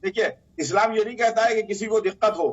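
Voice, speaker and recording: Male cleric panelist, husky and quick, insistent repetition, slightly lo-fi sound. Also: high voice. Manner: insistent, repeats phrases. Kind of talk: argumentative debate talk.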